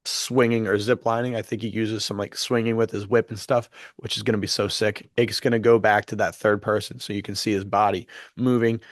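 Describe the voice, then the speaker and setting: A man's voice: fairly low pitch, little pitch movement, a little fast, no echo, clean studio sound. A young man co-hosting a podcast in casual chat, enthusiastic and full of fillers, his voice a bit worn from being sick. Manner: chatty, enthusiastic, many fillers. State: losing his voice after illness. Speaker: podcast co-host.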